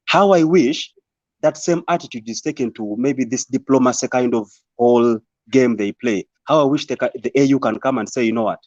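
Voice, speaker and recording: A man's voice: mid-range voice, fairly quick, darkish tone, no echo. Earnest man on a muffled call. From Uganda. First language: Ateso and Swahili. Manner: earnest, repeats words as he builds a point. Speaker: panel guest. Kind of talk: online panel discussion.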